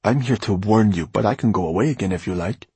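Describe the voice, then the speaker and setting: A man's voice: fairly low pitch, moderate pace, creaky, mellow, breathy edge, no room sound, pitched above the performer's own voice, heavily acted. A man voicing an eager, touchy teenage boy with a defensive, hesitant manner in an audiobook. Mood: indignant. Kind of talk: audiobook character dialogue.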